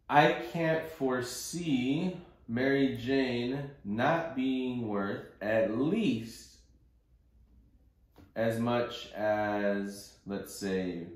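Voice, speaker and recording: A man hosting an investing conference call, giving informal, opinionated market commentary off the cuff in blunt, coarse everyday language. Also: mid-range voice. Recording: very roomy, echoing sound.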